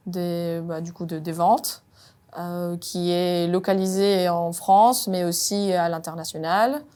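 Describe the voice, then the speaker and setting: Woman, hesitant measured French. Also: fairly low pitch, darkish tone. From Colombia. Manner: measured, frequent "euh" hesitations. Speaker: robotics R&D engineer. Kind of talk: job interview-style monologue.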